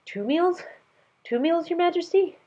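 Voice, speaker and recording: A woman's voice: very high voice, unhurried, mellow, muffled recording, pitched above the performer's own voice. A woman voicing a flustered, deferential male royal counselor who stammers hesitantly and is anxious to please. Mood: puzzled, obedient.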